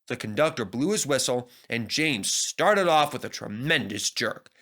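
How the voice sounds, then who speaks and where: A man narrates a children's tale from a script. His voice is bright and lively in pitch, with an expressive storybook delivery. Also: moderate pace.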